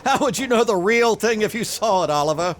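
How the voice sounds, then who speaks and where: A man's voice: sits high, animated, a little fast, a bit bright, a little hiss or hum. An actor playing a cynical, cocky publicity man in 1950s radio drama style, brash and condescending. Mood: smug, cynical.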